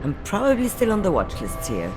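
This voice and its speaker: A woman's voice: medium pitch, wildly swooping pitch, a little fast, over music. An actress playing a wry, aging veteran sniper woman in a video game, with a dry, sardonic manner. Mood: wistful. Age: older.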